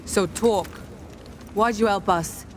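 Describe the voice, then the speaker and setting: A woman's voice: medium pitch, slow pace, a little hiss or hum, lightly acted. An actress playing a tough, impatient female gang leader in a video game, sardonic and challenging. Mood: confrontational. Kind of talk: video game character dialogue. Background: music.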